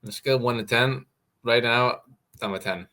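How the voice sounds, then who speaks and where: A man with an American accent, a fighter answering interview questions in a halting way, repeating words as he goes. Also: mid-range voice, bright, forceful tone. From Mexico. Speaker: fighter guest. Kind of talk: casual interview.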